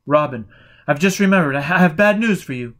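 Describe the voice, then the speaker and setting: A man's voice: a little high, animated, brisk, a bit bright, voice pushed up. A man reading the part of a confused, desperate man in an audiobook, questioning urgently. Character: confused, desperate man lost between life and death.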